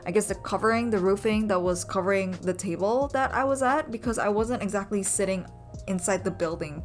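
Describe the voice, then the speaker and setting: A young woman, a native Indonesian speaker, narrating her sketching vlog in a soft, rambling way with many ums. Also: lowish voice. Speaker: vlogger and urban sketcher. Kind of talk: casual voice-over narration.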